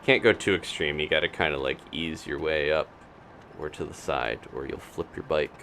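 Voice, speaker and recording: A man's voice: extremely low pitch, moderate pace, creaky, a bit bright, a little hiss or hum. A man doing casual live commentary on a video game as he plays, thinking aloud. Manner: thinking aloud, talking to himself and his game character.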